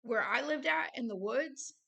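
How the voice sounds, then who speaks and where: A woman hosting a religious video, reading from a book and commenting informally in a halting, earnest way with insistent asides. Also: on the high side, expressive, vocal fry, sharp tone.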